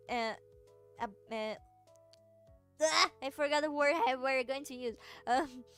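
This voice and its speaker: A woman's voice: sits high, fairly bright tone. A young woman from Brazil with a Brazilian Portuguese accent, chatting casually with her viewers, rambling with lots of fillers and giggles. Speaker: streamer.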